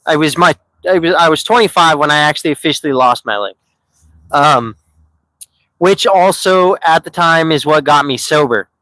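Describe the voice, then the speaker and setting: A man's voice: on the high side, normal speed, bright, forceful tone, no room sound, low-quality recording. A man in his thirties telling his own story on a live stream, candid and rambling, with many fillers and restarts. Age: 30s.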